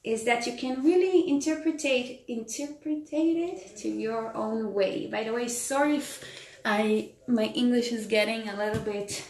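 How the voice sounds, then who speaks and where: A woman with an Israeli accent talks through a cooking demo in unhurried, slightly broken English, pausing for ums and reading viewer comments aloud. Her voice sits a little high. She's in an echoey home kitchen with faint pots and dishes in the background. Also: a little mellow. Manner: chatty, reads and answers viewer comments, frequent ums. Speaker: tour educator hosting a live cooking stream. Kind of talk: casual live cooking demo.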